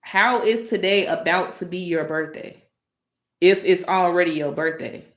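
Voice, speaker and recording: A woman hosting a live stream, talking casually and directly to her chat, animated and exasperated with commenters. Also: lowish voice, unhurried, echoey room.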